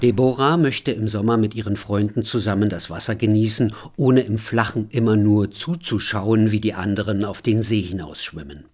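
Husky-voiced man narrating a documentary. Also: fairly low pitch. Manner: calm, measured reporting. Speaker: radio feature narrator.

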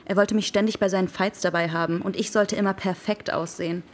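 A woman's voice: mid-range voice, steady tone, fast, slight background noise, natural voice. An actress playing a hurt young ex-girlfriend in a radio drama, talking in a terse, dry, matter-of-fact way. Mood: bitter, matter-of-fact. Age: young adult. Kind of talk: naturalistic radio drama dialogue.

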